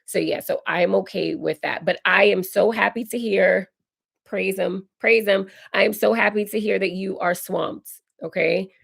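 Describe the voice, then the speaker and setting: A woman in her forties, hoarse and raspy while recovering from illness, hosting a casual live Q&A and answering viewer comments. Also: medium pitch, fairly even intonation, normal speed. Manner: chatty, reads viewer comments aloud and answers warmly. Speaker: decor channel host. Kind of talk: live stream Q&A chat.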